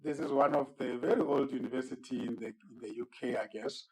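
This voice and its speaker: A man moderating an online coaching podcast, his voice sitting at an ordinary middle pitch. He is courteous and measured, circling back over words before he settles on them. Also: steady tone, low-quality recording. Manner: measured, courteous, with frequent word repeats. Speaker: host and moderator. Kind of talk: formal podcast interview.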